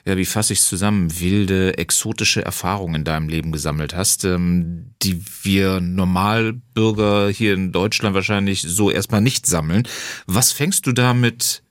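Male radio host, low voice, dry close studio sound, probing questions with frequent fillers. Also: moderate pace, dry recording. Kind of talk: radio interview. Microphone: studio microphone.

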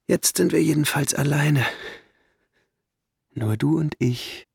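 A man's voice: fairly high pitch, slow pace, creaky, darkish tone, breathy edge, dry recording, clean studio sound, exaggerated voice. A man acting in a radio play as a cold, dismissive husband with a menacing edge. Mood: cold, curt.

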